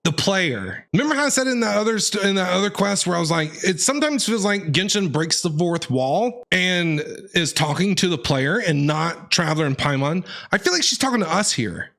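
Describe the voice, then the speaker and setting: A man's voice: sits high, very animated, normal speed, gravelly, a bit bright. A man giving casual live playthrough commentary as a game streamer, informal and reactive, with jokey asides.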